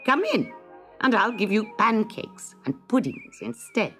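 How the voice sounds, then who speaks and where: A woman's voice: mid-range voice, big pitch swings, measured pace, fairly bright tone, soft background music, voice pushed up. A shrieking old witch. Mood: coaxing. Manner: shrieking, snapping, gloating. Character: cackling short-sighted old female witch, cruel.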